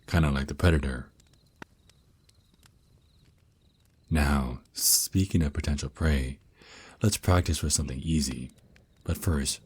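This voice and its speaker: A man's voice: a bass voice, slightly rough. A man voicing a teasing, protective vampire naga boyfriend who mentors his lover in an intimate, reassuring ASMR roleplay. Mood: calm, instructive. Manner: soft teasing endearments, reassuring, unhurried. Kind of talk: ASMR roleplay, intimate one-sided dialogue.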